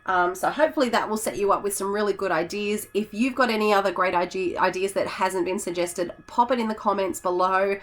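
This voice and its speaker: A hoarse woman, a teacher chatting casually in a vlog, rambling with fillers and self-corrections. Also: mid-range voice, a little fast, hardly any pauses. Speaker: teacher and YouTuber.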